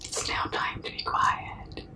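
A young woman whispering very close to the mic in a breathy, soothing, repetitive ASMR comforting roleplay, with soft shushing. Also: takes it slowly. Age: young adult. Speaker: ASMR performer. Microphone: very close to the mouth.

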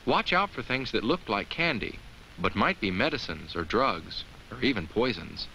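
Friendly low-voiced talking pumpkin. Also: male voice, very animated, moderate pace, pushed and bright, a little hiss or hum, full character voice, rough recording. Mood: cautioning. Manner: earnest, reassuring, simply explaining to children. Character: friendly talking Halloween jack-o'-lantern, kindly and earnest. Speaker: narrator. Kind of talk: scripted children's educational film narration.

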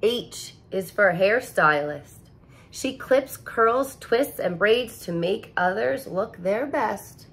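A woman teacher reads a children's picture book at an unhurried pace. Her pitch rises and falls a lot in a sing-song storybook style, with soft little asides between pages. She sounds close to the camera in a living room. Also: expressive, slight background noise. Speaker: teacher reading aloud. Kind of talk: picture book read-aloud for young children. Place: living room with couch, indoors.

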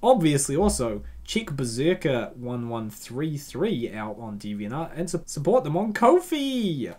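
Male host, playful and theatrical, very animated pitch, casual commentary on comics. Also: a little slow.

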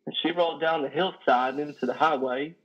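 A man acts out a lonely trucker confessing in a play reading. The voice is fairly high for a man and raspy, halting and folksy. The video call audio is slightly dull. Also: steady tone, natural voice. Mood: anguished, guilty. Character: lonely, guilt-ridden, worn-out male trucker confessing. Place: living room, on a sofa. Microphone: headset on video call.

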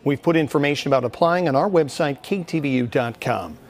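A man's voice: fairly high pitch, expressive, a little fast. An American man in his thirties delivering a live TV news report from a newsroom. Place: TV station newsroom.

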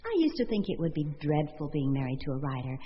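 A woman's voice: sits low, very animated, fast talker, a little hiss or hum. An actress in a radio drama playing a wry, romantic single woman who muses and questions herself theatrically. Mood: tender. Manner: theatrical, musing, self-questioning. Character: Laura, wry romantic single woman weighing her suitors. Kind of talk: radio drama acting, monologue and dialogue. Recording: muffled audio with music.

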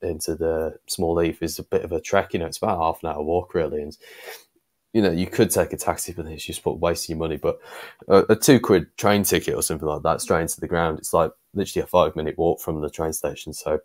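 A man's voice: extremely low pitch, fairly even intonation, quick pace, a little mellow. A man co-hosting a casual fan podcast over a video call, thinking aloud with plenty of ums. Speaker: podcast co-host. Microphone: video call with earphones.

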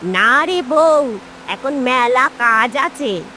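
A woman's voice: high-pitched, animated, bright, forceful tone, slight background noise, heavily acted, low-quality recording. A woman voicing a weary, worried elderly village aunt in a cartoon. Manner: slow, tired and pleading. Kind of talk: cartoon voice acting.

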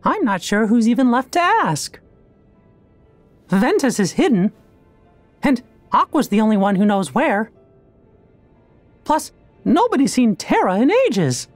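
A man voicing a small, kindly cartoon mouse king in a video game, in a squeaky falsetto. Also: sing-song, unhurried, long pauses, dry recording, faint music behind, full character voice. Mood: earnest, concerned. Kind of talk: animated video game acting.

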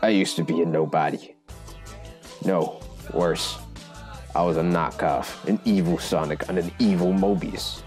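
A man's voice: low-pitched, fairly even intonation, a little slow, a little mellow, faint music behind. A man voicing a bitter, cynical young punk villain in a dramatic cartoon monologue. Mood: bitter, boastful. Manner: brooding, cynical.

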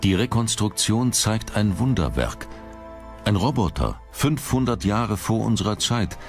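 A man's voice: lowish voice, expressive, unhurried. A man reading scripted narration for a documentary as its narrator. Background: background music.

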